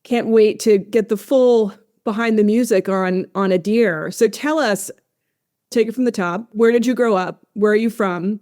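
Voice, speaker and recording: A woman's voice: mid-range voice, moderate pace. A woman in her forties hosting a podcast, chatty and joking with an old friend. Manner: chatty, warm, jokey. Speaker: podcast host. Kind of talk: casual interview talk.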